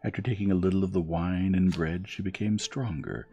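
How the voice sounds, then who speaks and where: Male bedtime story reader, very low and warm, soft measured delivery. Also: steady tone, creaky, husky. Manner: soft, measured, soothing reading. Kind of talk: gentle scripted storybook narration. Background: music.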